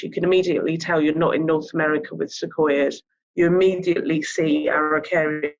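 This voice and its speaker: A woman speaks slowly and thoughtfully in a documentary interview, and she sounds quietly enthusiastic. The audio is slightly dull and muffled. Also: on the low side. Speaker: botanic garden staff interviewee.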